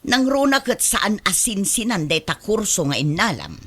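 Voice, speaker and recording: A woman plays a caring Ilocano mother in a radio drama. Her voice is bright and forceful, a touch low for a woman, and she coaxes and admonishes as a middle-aged parent would. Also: big pitch swings, moderate pace, breathy edge, exaggerated voice.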